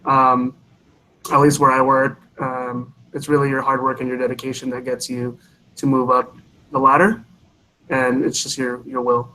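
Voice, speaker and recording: A young man in his late twenties speaks at a college career panel, offering practical advice in a measured way, with pauses between thoughts. His pitch stays flat, sitting at an ordinary mid height, with light background noise. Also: poor audio. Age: 20s. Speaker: engineering panelist. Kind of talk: panel answers to students. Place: college presentation event.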